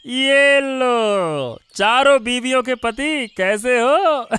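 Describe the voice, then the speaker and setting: A man's voice: extremely high pitch, lively intonation, bright, forceful tone, smooth, full character voice. A man voicing a sneering, meddlesome village minister in a Hindi cartoon moral story. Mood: mocking, taunting. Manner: taunting, drawn-out questioning tags. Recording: music bed under the voice.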